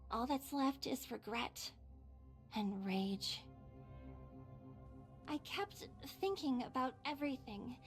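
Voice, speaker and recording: High-voiced, sardonic young woman doctor. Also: slow pace, long pauses. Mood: regretful. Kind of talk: dramatic video game voice acting. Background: music.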